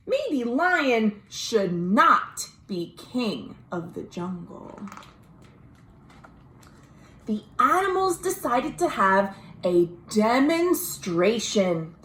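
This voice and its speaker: An American woman reading a children's story aloud, expressive and dramatic, pausing to explain things simply. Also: fairly high pitch. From United States. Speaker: librarian, storytime host. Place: at home. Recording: roomy, echoey home recording.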